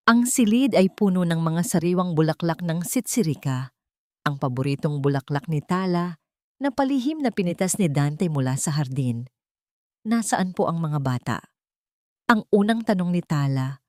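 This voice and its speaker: A woman's voice: lowish voice, a little mellow, no room sound, studio quality. A woman with a Filipino accent narrating a dramatic audiobook story from a script.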